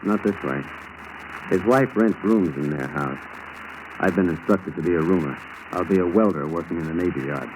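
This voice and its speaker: A man's voice: deep voice, moderate pace, lightly acted. An American actor playing a determined male FBI agent in a 1940s radio drama, talking in short, direct phrases. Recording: noisy old 1940s radio recording.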